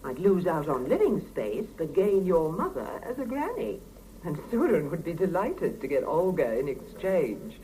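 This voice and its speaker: A woman's voice: lowish voice, big pitch swings, normal speed, mellow, a little hiss or hum, low-quality recording. An actress in a 1970s radio play voicing an outspoken, sardonic Soviet working mother with a teasing, emphatic delivery, on an old cassette recording. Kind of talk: 1970s radio drama acting.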